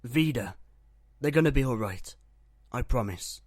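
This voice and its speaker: A steady, reassuring medic aboard a starship, acted by a man. He has a mid-pitched voice and a matter-of-fact delivery, recorded very dry for a roleplay series. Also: a little slow, no echo. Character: calm, reassuring male ship medic. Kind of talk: Minecraft roleplay web series voice acting.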